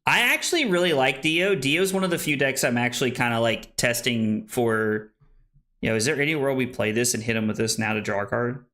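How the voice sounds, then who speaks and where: This is a young man in his late twenties who streams a card game and talks his plays through out loud. He is chatty and joking, and his pitch sits in an ordinary middle range. He keeps up a loose, casual flow of chat. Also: a little fast. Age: 20s. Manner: chatty, joking, thinks aloud.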